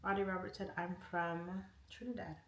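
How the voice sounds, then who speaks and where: Low gravelly woman streaming in echoey room. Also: flat delivery, normal speed, a bit bright. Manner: reads out viewer comments and answers each one. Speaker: livestream host. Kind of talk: casual livestream chat. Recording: echoey room, faint noise.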